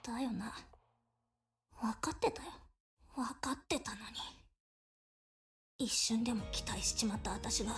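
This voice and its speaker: A voice actress playing a fierce young street girl who talks in rough, coarse slang and snarls at the church. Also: on the high side, a little fast, long pauses. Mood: bitter, resigned. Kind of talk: Japanese game voice acting. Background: game music.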